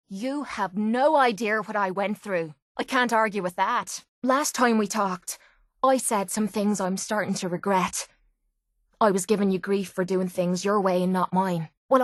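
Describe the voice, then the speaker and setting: A woman's voice: a little high, dry recording, natural voice. An actress performs a hard-bitten young Irish woman from a video game. She talks bluntly and with salty language, yet sounds earnest and exposed, as if confessing. The recording is dry and close, with no room around her. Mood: regretful, earnest. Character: tough, wounded young woman fighter, blunt yet vulnerable. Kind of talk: video game character acting.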